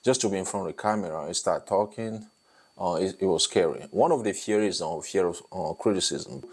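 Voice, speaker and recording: A man's voice: low voice, a little fast, a little mellow, breathy edge. A middle-aged man with a non-native English accent coaching beginners in a talking-head video, encouraging and repetitive, with many fillers and "okay?" tags. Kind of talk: talking-head tutorial to camera.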